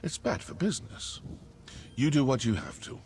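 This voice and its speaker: A man's voice: mid-range voice, very animated, moderate pace, darkish tone, a little hiss or hum. A man playing a wry, self-satisfied mercenary assassin in a fantasy game. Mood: wry, boastful. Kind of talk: scripted fantasy game dialogue.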